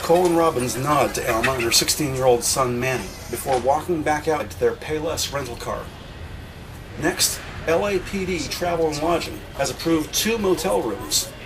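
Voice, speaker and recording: A man's voice: on the high side, rough recording. A man reading scripted descriptive narration for an audio drama. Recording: music under the voice.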